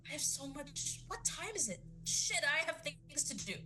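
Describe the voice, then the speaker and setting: A woman's voice: sits high, lively intonation, normal speed, sharp tone, faint music behind, exaggerated voice. A woman playing a flustered, overwhelmed young woman in an audio drama. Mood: flustered, panicky. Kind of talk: audio drama table read.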